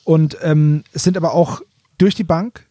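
A man with a fairly high-pitched voice chats as a podcast host, recorded close and dry. He explains things colloquially and with animation, like a fan talking to fellow fans. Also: fairly even intonation, measured pace, dark tone, no room sound. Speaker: podcast host presenting audio dramas. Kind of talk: casual fan chat.

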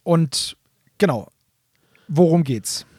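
A man's voice: fairly high pitch, animated, slow, mellow, slightly rough, no room sound, studio quality. A man, co-hosting a podcast and explaining things in casual chat. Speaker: podcast co-host.

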